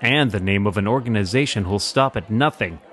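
A man's voice: lowish voice, a little fast, a bit bright, close to an everyday voice. A man playing a genial, knowledgeable layabout millionaire playboy in a radio drama parody, jaunty as he explains things. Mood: explaining. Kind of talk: old-time radio drama parody acting.

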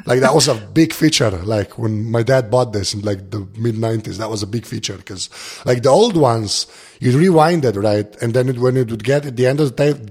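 A man with a husky, gravel-edged voice talks casually as a podcast guest. He sounds tired and barely awake, wandering from clause to clause in an informal, self-deprecating way. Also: medium pitch. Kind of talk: casual chat.

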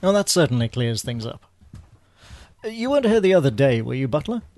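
An actor playing a dry, wry British gentleman spy with an understated manner in a radio comedy-mystery. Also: medium pitch, big pitch swings, brisk, dry recording.

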